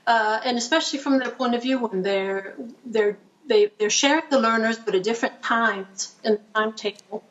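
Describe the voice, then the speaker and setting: A woman's voice: a little high, normal speed, fairly bright tone. A woman researcher joining remotely by video call, answering an audience question hesitantly as she searches for her phrasing. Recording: dull lo-fi video call audio.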